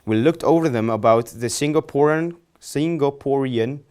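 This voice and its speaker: A man's voice: medium pitch, moderate pace, a little mellow, clean tone. A young man hosting a studio interview, asking long, polite questions with frequent restarts and self-corrections. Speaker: interview host. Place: studio set, indoors.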